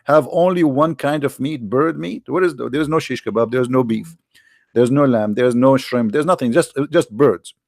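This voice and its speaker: A man's voice: medium pitch, normal speed, vocal fry, darkish tone, no room sound, studio quality. A man hosting a live online debate, mocking and sarcastic, firing questions at his opponent. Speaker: debate host.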